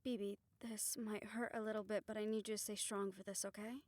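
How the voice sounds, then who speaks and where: A woman playing a calm, reassuring witch who leads a ritual in an audio roleplay, steady and encouraging as she gives instructions. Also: medium pitch, brisk, dry recording, lightly acted. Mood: gently commanding. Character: calm, reassuring female witch leading a soul-bond ritual.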